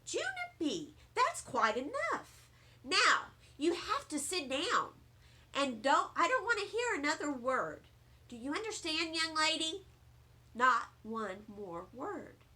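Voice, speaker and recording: A strict female schoolteacher from a storybook as a woman puts her on, exasperated and firm. Her bright, high voice moves slowly and deliberately, scolding with clear authority. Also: big pitch swings, heavily acted. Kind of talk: children's storybook reading.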